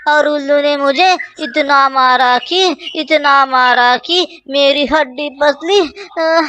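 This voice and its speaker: Hapless henpecked crow husband, played by a woman: high-pitched, slow, whiny and melodramatic, for a kids' cartoon. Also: a bit bright, dry recording. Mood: groaning in pain. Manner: pleading, melodramatic. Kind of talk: children's cartoon story dialogue.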